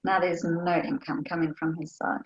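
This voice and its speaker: A woman's voice: fairly low pitch, flat delivery, normal speed, reverberant, muffled recording, a little hiss or hum. A woman on a group call, stressed about money and wryly self-mocking about it. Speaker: group participant. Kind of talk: casual chat on a group call.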